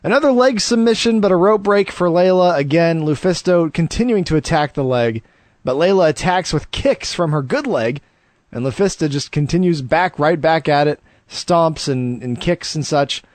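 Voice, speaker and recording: A man's voice: on the high side, lively intonation, normal speed, dry recording. A man co-hosting a podcast, enthusiastic and jokey while recapping wrestling. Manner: enthusiastic, laughs easily, jokey. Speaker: podcast co-host. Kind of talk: casual wrestling review chat.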